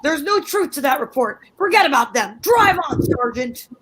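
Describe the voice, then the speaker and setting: A woman plays a scheming wife from an old-time radio comedy. Her voice is very high, and she talks in theatrical exclamations. She is bossy and wheedling, always bluffing with confidence. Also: sing-song, normal speed, fairly bright tone, rough recording.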